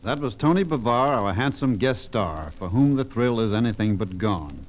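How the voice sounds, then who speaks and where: A man's voice: lowish voice, lively intonation, normal speed, faint music behind. A man hosting a 1950s radio show with polished, formal showbiz patter, on a vintage recording.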